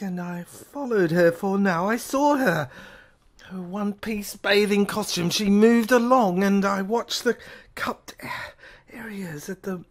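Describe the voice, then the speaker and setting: A woman narrating a dramatic first-person story in a halting, overwrought manner, often repeating words. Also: medium pitch. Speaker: narrator reading an audio screenplay.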